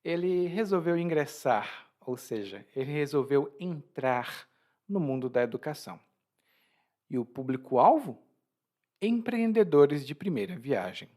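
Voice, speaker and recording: Lively-voiced male teacher. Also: fairly high pitch, a little slow. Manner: explanatory, frequent restarts and laughs. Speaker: Portuguese teacher. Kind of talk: language lesson explaining expressions.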